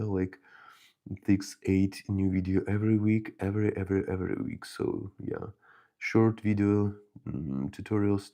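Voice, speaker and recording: A man from Ukraine with a Ukrainian accent, hosting a casual miniature painting stream and thinking aloud in a soft, halting way as he works. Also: low-pitched, vocal fry. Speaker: miniature painting channel host. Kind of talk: casual live painting chat.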